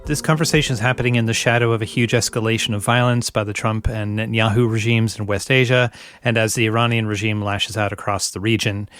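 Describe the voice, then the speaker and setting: A man hosting a weekly radio show, reading a scripted introduction and then asking interview questions in a measured, earnest way. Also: on the low side, fairly even intonation, a bit bright, clean tone, no echo, studio quality, faint music behind.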